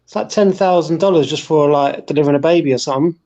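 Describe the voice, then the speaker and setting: Fast-talking British man in his forties. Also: on the high side, mellow, highs cut off. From United Kingdom. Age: 40s. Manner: teasing, quick banter. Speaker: podcast guest. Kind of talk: casual group chat.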